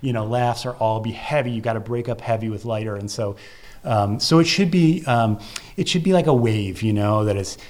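A man's voice: on the low side, normal speed, darkish tone. A man speaking as an interview guest in a conversational, explanatory way, thinking aloud as he goes. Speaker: interview guest, theatre festival curator and producer.

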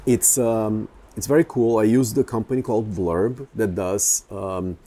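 A man whose first language is Portuguese speaks in a relaxed, mellow voice. He talks casually, pausing often with fillers and restarting his sentences as he searches for words. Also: lowish voice. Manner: hesitant, many fillers and restarts. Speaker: photographer. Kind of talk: casual chat.

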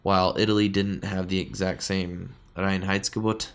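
A man's voice: low voice, level tone, normal speed. A man co-hosting a beer podcast, talking in a chatty, informal, enthusiastic way. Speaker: podcast co-host. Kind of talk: casual beer-tasting podcast chat.

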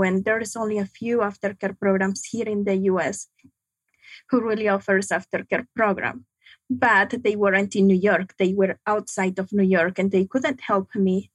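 Slow, halting young woman, second-language English. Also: mid-range voice, breathy edge, dry recording, rough recording. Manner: halting, reflective, frequent pauses. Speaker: guest. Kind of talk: personal testimony in an interview.

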